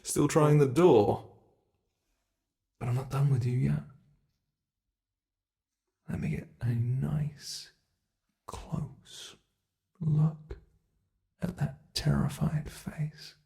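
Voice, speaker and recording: A gleeful, menacing old monster from under the bed, acted by a man. The voice has a gravelly creak and moves very slowly, slipping toward whispers. It taunts and gloats in the teasing style of an ASMR horror roleplay. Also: a little high, fairly even intonation, dark tone, exaggerated voice. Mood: smug, gloating. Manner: slow, teasing, whispery taunting with drawn-out words.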